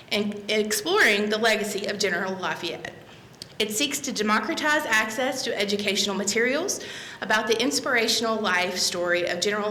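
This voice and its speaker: Bright-voiced woman welcoming a library audience. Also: medium pitch, a little hiss or hum. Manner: friendly, reads the introduction with fillers. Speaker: library manager introducing a speaker. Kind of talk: welcome and speaker introduction. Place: library meeting room. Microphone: podium microphone. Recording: echoey room.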